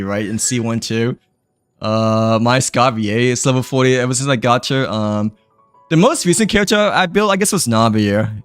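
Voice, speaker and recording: A man livestreaming a game, chatting in a rambling way full of fillers and giggles, his throat a little dry and tired. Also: mid-range voice. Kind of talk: casual game stream chat.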